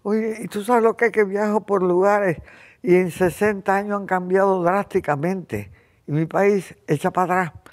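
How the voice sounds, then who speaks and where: A Cuban woman in her eighties hosting a conversation, talking in an impassioned, rambling way and often clearing her throat. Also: mid-range voice, fairly even intonation, normal speed, a little mellow. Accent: Cuban Spanish. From Cuba. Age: 80s. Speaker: host. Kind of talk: casual conversation.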